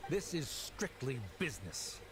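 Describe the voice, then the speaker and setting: A man voicing a cold, haughty father and official in an animated game, formal and pompous. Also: mid-range voice, a little slow, over music. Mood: stern, dismissive.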